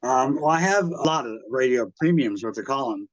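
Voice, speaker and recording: An American man in his sixties talks casually about his past as a collector, in a halting, hesitant way. His pitch is middling, and he is recorded dry and slightly muffled. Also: animated, no room sound. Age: 60s. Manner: halting, hesitant, backtracks often. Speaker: radio collector and museum exhibitor, interviewee. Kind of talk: casual reminiscing interview.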